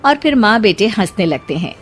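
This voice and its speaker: A woman's voice: medium pitch, very animated, moderate pace, slightly rough, faint music behind. A woman narrating a scripted family story for a cartoon.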